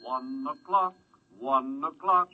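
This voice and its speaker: A man's voice: mid-range voice, slow, lightly acted. A man playing an automated house clock that chants out the hours in a 1950s radio drama. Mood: insistent, mechanical. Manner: repetitive, chanting announcements. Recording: muffled vintage radio, music behind.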